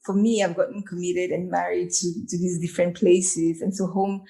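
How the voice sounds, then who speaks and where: A woman host from Cameroon leads a casual interview with careful, probing questions. Her low voice has a gravelly edge and a mellow tone. She often restarts and repeats words. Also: little pitch movement, normal speed. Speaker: podcast host.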